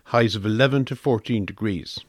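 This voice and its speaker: A man's voice: on the low side, fairly quick, no echo. Irish man reading weather, dry close sound. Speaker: radio weather forecast reader. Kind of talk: scripted weather forecast.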